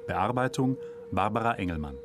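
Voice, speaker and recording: Low-voiced male radio announcer. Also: lively intonation, moderate pace, background noise. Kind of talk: scripted radio drama credits. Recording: music under the voice.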